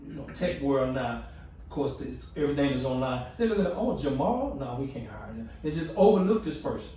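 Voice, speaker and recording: A man hosting a panel discussion who asks probing questions in a lively way. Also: mid-range voice, a little fast. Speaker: host. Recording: very echoey room from far off, faint noise.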